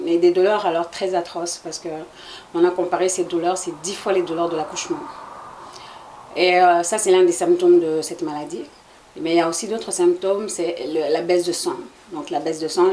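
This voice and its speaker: A woman's voice: on the low side, darkish tone, slight background noise. A woman from Congo in her forties, answering interview questions in a hesitant, explanatory way with many fillers. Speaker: guest, association president.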